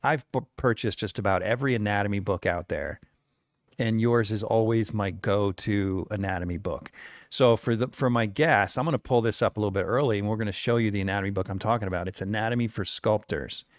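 A man's voice: on the low side, a little fast, no echo, clean studio sound. A man in his forties hosting a podcast interview, talking in an effusive, enthusiastic way and laughing easily. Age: 40s. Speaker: podcast host.

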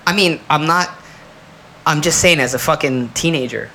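A man's voice: a little high, moderate pace, pushed and bright. A man co-hosting a casual podcast, rambling emphatically and swearing freely as he argues his opinions with friends. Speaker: podcast co-host. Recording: clearly noisy background.

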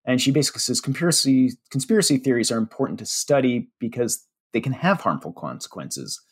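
A man with a slightly husky voice and a Pennsylvania Dutch accent chats about research in a relaxed, informal way. His pitch moves around a lot as he talks. He fills pauses with little "uh"s and pokes fun at himself. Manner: chatty, with fillers and self-deprecating jokes. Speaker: media relations director. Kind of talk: casual podcast chat.

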